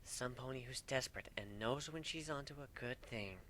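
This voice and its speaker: A man's voice: medium pitch, normal speed, a bit bright, no echo, close to an everyday voice. A man voicing a sly, playful female changeling wife in a fan-fiction reading. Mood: teasing. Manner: sly, matter-of-fact.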